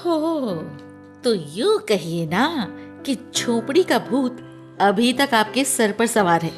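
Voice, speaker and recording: Radio play role: teasing, inquisitive young confidante, high voice with big swoops, theatrical. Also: female voice, very animated, slow, soft background music. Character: nosy, teasing young woman, the heroine's close friend. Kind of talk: Hindi radio play acting.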